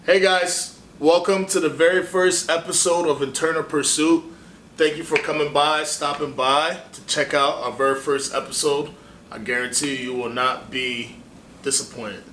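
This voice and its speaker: A young man from Ghana hosting a relaxed, chatty interview with plenty of fillers. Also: a little high, moderate pace. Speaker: host. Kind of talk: casual interview. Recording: echoey room, distant mic, faint hiss.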